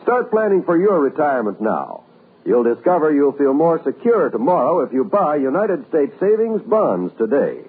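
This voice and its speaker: A man's voice: fairly high pitch, big pitch swings, moderate pace, slight background noise. A man announcing a vintage radio program in a scripted 1950s broadcast style. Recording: muffled tinny old radio recording.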